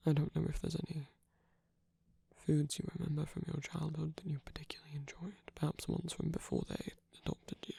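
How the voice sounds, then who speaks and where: A performer voicing a soft-spoken villain in an intimate romantic ASMR roleplay, gentle, protective and hesitant, with a breathy, husky, often whispered delivery. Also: medium pitch, level tone, a little fast, dry recording, full character voice. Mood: gentle, caring. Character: soft-spoken villain, tender and protective toward abandoned hero.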